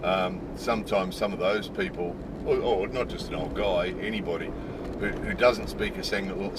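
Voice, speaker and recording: A middle-aged man, chatting casually to camera in a rambling way with lots of "you know". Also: deep voice. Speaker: vlogger living in Japan. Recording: outdoor street noise.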